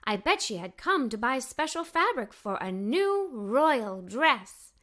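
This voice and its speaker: A woman's voice: fairly high pitch, big pitch swings, slow, fairly bright tone. A woman reading a children's story aloud, expressively, as a cheerful young girl narrator. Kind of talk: scripted read-aloud storytelling for kids.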